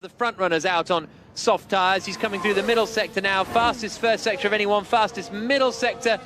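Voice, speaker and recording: Male motorsport radio commentator, high voice, clear vehicle noise in the background. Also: fairly even intonation, a little fast. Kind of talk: live radio sports commentary.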